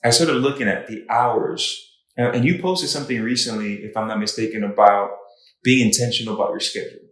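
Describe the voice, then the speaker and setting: A man's voice: medium pitch, fairly bright tone. A man who works as a fitness trainer, chatting on a podcast in an enthusiastic, encouraging way. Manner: enthusiastic, encouraging, quick to affirm. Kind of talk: casual chat. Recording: echoey room.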